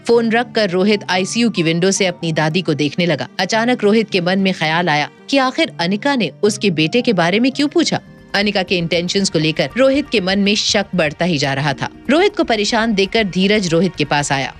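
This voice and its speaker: A woman's voice: medium pitch, expressive, moderate pace. A woman narrating an audio drama in a scripted, dramatic storytelling style. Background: background music.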